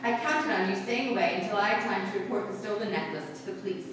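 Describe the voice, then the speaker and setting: A woman playing a cool, mocking, vengeful wife in a 1940s-style radio drama, arch and deliberate. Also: medium pitch, close to an everyday voice. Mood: coolly mocking. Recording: distant, echoey hall, background noise.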